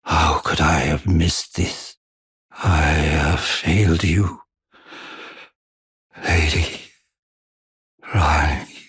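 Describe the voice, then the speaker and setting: Casting: aged giant blacksmith-counselor for a fantasy game. Very low, heavily rasping, solemn and devoted. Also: male voice, steady tone, a bit bright, no room sound, studio quality, exaggerated voice. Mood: despairing. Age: older. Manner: slow, solemn, archaic courteous phrasing. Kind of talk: formal video game character acting.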